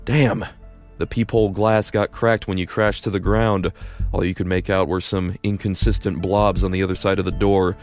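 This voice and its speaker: A man's voice: sits low, level tone, normal speed, a little mellow, faint music behind. A man narrating a fan audio drama in the second person, casual and wry.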